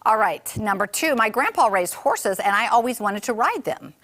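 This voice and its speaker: A woman's voice: on the high side, big pitch swings, moderate pace, a bit bright. A woman co-hosting a morning show, chatting casually with an encouraging, enthusiastic manner. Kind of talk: casual chat.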